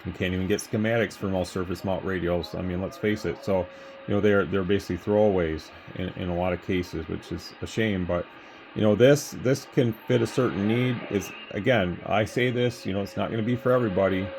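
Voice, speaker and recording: A man's voice: lowish voice, background noise. A man from the United States giving a casual, rambling hands-on product demonstration as an equipment reviewer, recorded at home on a phone camera. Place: home room with radio test equipment.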